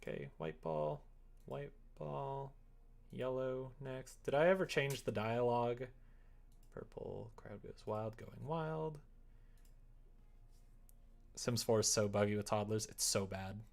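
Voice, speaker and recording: A man's voice: medium pitch, lively intonation, takes it slowly, gravelly, pauses often. A man casually livestreaming as he works on a visual novel, rambling and muttering to himself with joking asides. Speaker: live streamer developing a visual novel. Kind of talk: casual livestream chat while working.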